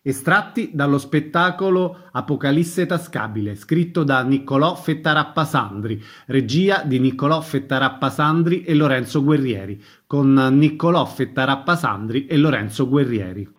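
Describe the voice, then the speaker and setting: Medium-pitched male announcer reading credits. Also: lively intonation, normal speed, few pauses. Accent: Italian.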